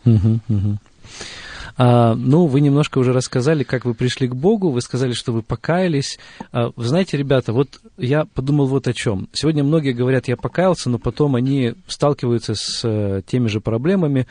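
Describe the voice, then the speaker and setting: A man's voice: medium pitch, fairly quick, mellow, no room sound. A man hosting a conversational radio interview in a studio. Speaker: radio host.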